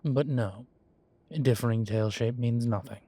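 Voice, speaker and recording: Slow-talking, teasing demon professor, played by a man. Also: mid-range voice, warm tone, slightly rough, no echo. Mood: patient, explaining. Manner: measured, teasing, formal, wry, with drawn-out pauses. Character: male demon professor, horned, teasing yet composed. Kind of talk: scripted roleplay audio, one side of a conversation.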